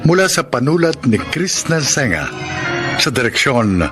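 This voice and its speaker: A man's voice: mid-range voice, big pitch swings. A man with a Filipino accent delivering formal, scripted dramatic narration as a classic-style radio drama announcer. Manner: formal, measured. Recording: radio programme with music behind.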